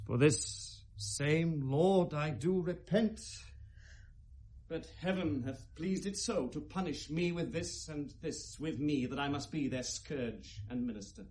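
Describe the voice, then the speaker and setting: An actor playing an anguished, accusing young prince in 1970s British classical radio drama, declaiming verse in a Received Pronunciation accent with feverish intensity. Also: male voice, a little high, slow, darkish tone, a little hiss or hum. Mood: grave, pleading. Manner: declamatory, impassioned, theatrical.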